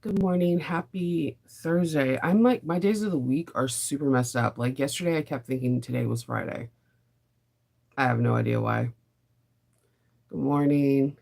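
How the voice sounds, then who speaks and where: Raspy-voiced woman chatting on a live stream. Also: rumbling low, normal speed, darkish tone. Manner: rambling, chatty, laughs often. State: losing her voice, raspy from sinuses. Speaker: sewing channel host. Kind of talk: casual live stream chat.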